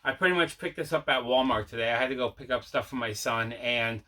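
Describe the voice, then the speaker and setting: Bright, forceful-voiced man hosting a show. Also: mid-range voice, a little fast. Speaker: host of a collecting video show. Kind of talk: casual unboxing chat.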